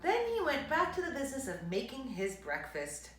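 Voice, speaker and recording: Animated woman reading a children's story, echoey room. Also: high voice. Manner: dramatic emphasis, drawn-out words, hushed asides. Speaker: read-aloud storyteller for children. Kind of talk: expressive children's story reading with asides to listeners.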